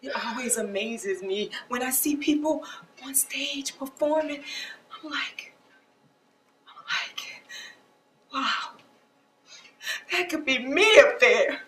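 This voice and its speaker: Stage drama: regretful woman in her forties, high plaintive voice, echoey distant pickup. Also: slow, long pauses. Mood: hurt, wistful. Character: hurt, regretful woman nearly forty-five who gave up dancing. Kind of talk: stage play acting. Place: theater stage. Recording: echoey stage, picked up from far off.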